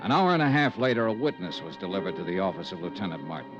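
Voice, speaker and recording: An actor playing a sardonic, probing insurance investigator in a 1950s radio detective drama, wry and clipped. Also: male voice, low-pitched, lively intonation, fast, over music. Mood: wry narrating. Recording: muffled old radio recording.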